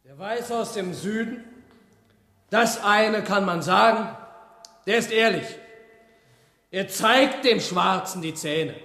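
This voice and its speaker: Radio drama: angry militant speaker, very high voice, defiant, ringing through a reverberant hall. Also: male voice, slow pace, long pauses, exaggerated voice. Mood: scornful. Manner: sharp, defiant, shouting at times. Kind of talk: fiery public speech in a radio drama. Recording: echoey hall with long reverb.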